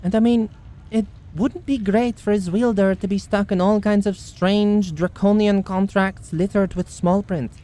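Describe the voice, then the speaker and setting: Woman acting as fantasy bounty hunter, medium pitch, kind, wry, audio roleplay mage. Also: fairly even intonation, measured pace, dark tone, natural voice. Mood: wry, chatty.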